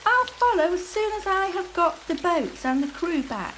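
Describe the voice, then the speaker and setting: A woman's voice: very high-pitched, big pitch swings, moderate pace, fairly bright tone, a little hiss or hum, voice pushed up, heavily acted, poor audio. A woman reading the part of an eager, helpful boy in a storybook, calling out keenly.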